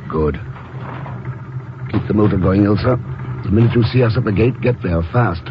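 An actor playing a cool, decisive secret agent who talks tersely and dryly in a 1950s radio drama. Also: male voice, on the low side, moderate pace, lightly acted. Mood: terse, commanding. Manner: terse, dry, commanding. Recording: muffled, noisy old radio broadcast.

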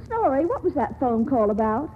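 A woman's voice: high-pitched, very animated, normal speed, husky, exaggerated voice. An actress playing an impulsive young woman with a coquettish, petulant manner, in 1940s radio acting style. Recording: muffled old radio broadcast, faint hiss.

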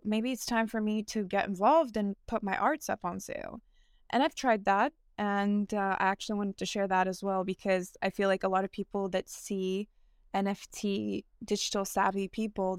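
A young woman talks through her answers in an earnest, easygoing way, pausing with fillers and restarting her sentences. Her voice is smooth and resonant at a middle pitch. The sound is dry and close, with no room around it. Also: dry recording. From United States. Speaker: interview guest, fashion founder. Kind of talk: casual interview answers.

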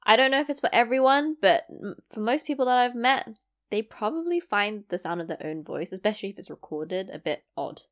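A young woman from East London with a London accent, talking casually to the camera, self-deprecating and rambling with asides. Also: mid-range voice. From East London, England. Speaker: vlogger.

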